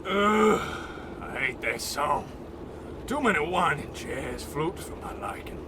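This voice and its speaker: A man's voice: on the high side, expressive, slow pace. An actor playing a grumpy, pedantic investigator in an old-time radio comedy, grumbling as he goes. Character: grumpy, exacting male investigator on the road. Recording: clear car engine noise behind. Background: driving vehicle sound effect.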